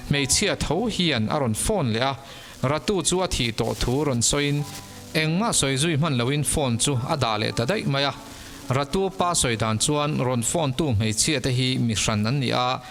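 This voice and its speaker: Reverberant male storyteller, lower-pitched voice. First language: Mizo. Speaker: narrator of mystery case stories. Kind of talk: scripted storytelling narration. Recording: long reverb, music underneath. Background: background music.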